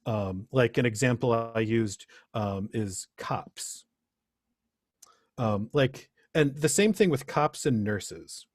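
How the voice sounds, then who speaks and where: Middle-aged man, slightly husky, close dry sound, earnest and reflective, informal presenter. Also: medium pitch, expressive, slow, dry recording. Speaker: presenter, teacher.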